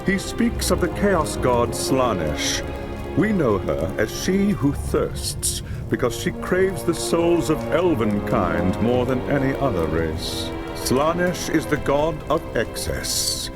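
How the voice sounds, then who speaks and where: A man playing a grave, cautious high elf lore master in a fantasy game, speaking in a solemn, deliberate and formal way. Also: very animated. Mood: grave warning. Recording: music behind the voice.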